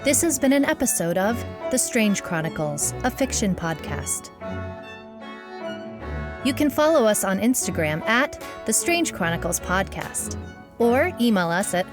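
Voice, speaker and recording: A woman's voice: mid-range voice, very animated, measured pace. A woman reading a scripted podcast outro as the credits announcer. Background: string music.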